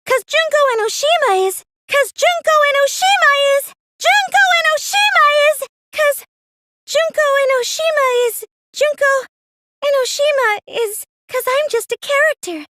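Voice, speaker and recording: A very high, girlish anime game voice for a plain, earnest teenage girl, recorded close and dry. She comes across as meek and innocent, with a schemer hidden underneath. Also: expressive, moderate pace, bright, forceful tone, no echo, exaggerated voice. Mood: frantic, insistent. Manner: hesitant and stammering when pressed, earnest, girlish. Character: meek, plain teenage girl cosplayer, secretly a gleeful mastermind. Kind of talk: anime video game dub acting.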